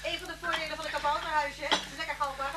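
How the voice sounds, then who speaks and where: Actress as a high-voiced, chatty hostess. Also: female voice, level tone, rapid-fire, natural voice. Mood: hospitable. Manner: chatty, solicitous. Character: hospitable, chatty woman living alone in cottage. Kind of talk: naturalistic Dutch radio drama acting. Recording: noisy old radio drama recording.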